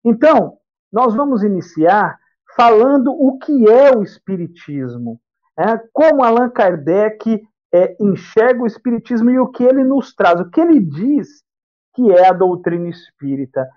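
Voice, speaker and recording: A man's voice: high-pitched, sing-song, measured pace. A man from the of São state, giving a spiritist lecture and explaining points by repeating and rephrasing them. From interior of São Paulo state, Brazil. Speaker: guest lecturer. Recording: dull lo-fi audio.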